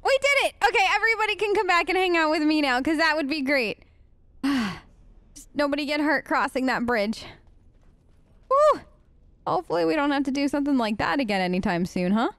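A woman's voice: sits high, animated, a little fast, a bit bright, no room sound. A woman doing casual, chatty role-play game commentary with a playful, wry manner. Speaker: gamer, let's player.

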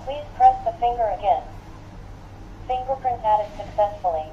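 A woman's voice: fairly high pitch, moderate pace, warm tone, a little hiss or hum. A woman's automated voice giving scripted intercom announcements through a small device speaker. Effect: played through a small device speaker. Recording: dull small-speaker playback, busy background sound.

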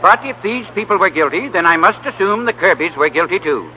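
An actor playing a stern but fair judge, formal and authoritative, in 1930s radio style. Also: male voice, high-pitched, lively intonation, brisk, a little hiss or hum. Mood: measured, benevolent. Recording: muffled, humming 1930s radio recording.

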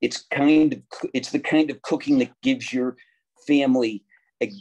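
A middle-aged man reads poetry on a video call from a room at home. He takes his time, pacing the phrases slowly in a mid-pitched voice. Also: darkish tone, rough recording. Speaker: poetry reader. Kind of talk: poetry reading.

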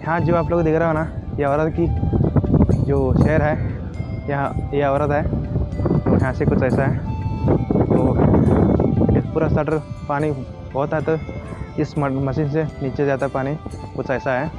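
A young man touring a dam, talking fast and repeating himself as he films himself outdoors with a phone held at arm's length. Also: on the high side, little pitch movement, over loud music, poor audio. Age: young adult. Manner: hurried, repetitive, keeps urging viewers along. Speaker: travel vlogger. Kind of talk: casual selfie vlog tour. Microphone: phone camera at arm's length.

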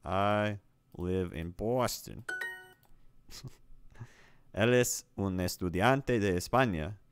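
A man's voice: lowish voice, drawn-out words, clear, resonant tone, no room sound, clean studio sound. A man streaming a language app, repeating the sentences aloud and correcting himself with small laughs. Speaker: streamer learning Spanish. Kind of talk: casual commentary while playing a language app.

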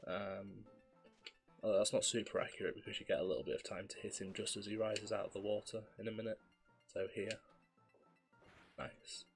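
A man, slightly hoarse with a cough, calmly explaining his speedrun as he plays. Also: lowish voice, a little fast, pauses often. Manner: relaxed, explanatory, self-deprecating. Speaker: speedrunner commentating his own run. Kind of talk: live gameplay commentary. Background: faint game music.

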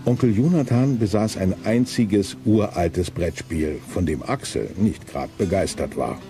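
A man's voice: fairly low pitch, a little slow, over music. A man reads scripted narration as the storyteller of a detective audio drama for young listeners. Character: male storyteller of a youth detective tale.

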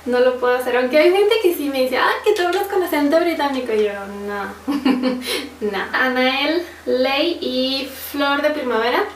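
A woman's voice: sits high, normal speed. A young woman with a Mexican accent, casually chatting and laughing often as she answers viewer questions on a sofa at home. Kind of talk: casual Q&A chat. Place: living room, on a sofa. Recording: roomy, slightly distant, faint hiss.

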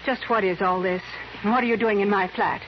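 A woman's voice: medium pitch, fairly even intonation, fairly quick, lightly acted. An actress playing a composed, businesslike female gem dealer in a 1950s radio drama, with a clipped delivery. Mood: indignant, puzzled. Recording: muffled 1950s radio recording with hiss.

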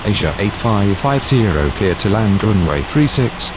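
A man's voice: on the low side, sing-song, a little slow. A man speaking scripted air traffic radio phraseology for a control game.

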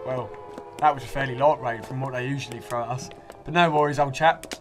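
An actor playing a slangy, defiant British newspaper reporter in wartime London, in a radio drama. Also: male voice, medium pitch, fairly even intonation, a little fast, close to an everyday voice. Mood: chummy. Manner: chummy, slangy. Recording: music behind the voice.

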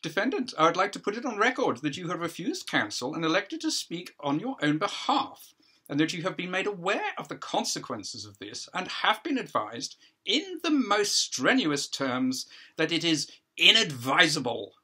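A man voicing a fussy, patronising judge in a stage play, brisk and condescending with false geniality. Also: fairly high pitch, big pitch swings, pushed and bright, breathy edge, pitched above the performer's own voice, exaggerated voice. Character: fussy, patronising male judge at party hearing.

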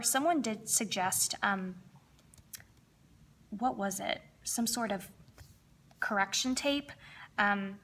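A woman's voice: mid-range voice, gravelly, fairly bright tone, slight background noise. A young woman with a slightly froggy voice, chatting upbeat as she narrates her planner decorating. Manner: chatty, upbeat, lots of fillers. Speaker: planner crafting vlogger. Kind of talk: casual voice-over plan with me.

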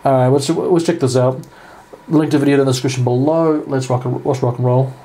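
A man's voice: mid-range voice, fairly even intonation, fairly quick, mellow, rough recording. A man giving casual live reaction commentary, stammering and repeating his phrases. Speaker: reaction video host. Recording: music playing behind the voice.

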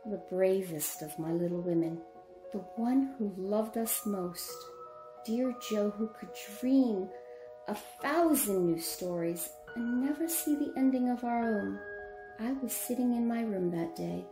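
Woman as a gentle mother, echoey, music behind. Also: mid-range voice, unhurried, natural voice. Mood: wistful. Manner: tender, genteel. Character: gentle, loving middle-aged mother of grown daughters. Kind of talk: old-time radio drama acting and narration.

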